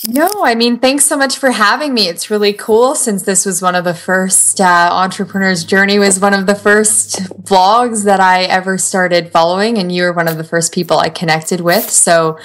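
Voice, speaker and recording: A woman's voice: mid-range voice, fairly even intonation, moderate pace, hardly any pauses, fairly bright tone. A woman from Canada, a blogger guest on a podcast, giving upbeat, earnest advice with frequent "like"s. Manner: upbeat, earnest, uses "like" often. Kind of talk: conversational advice.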